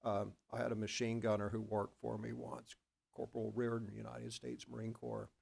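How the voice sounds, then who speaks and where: A man from Oklahoma, a school board member, talking at a board work session in a rambling, halting way with many restarts and a self-deprecating manner. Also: lowish voice, level tone, gravelly, a little mellow. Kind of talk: board meeting discussion.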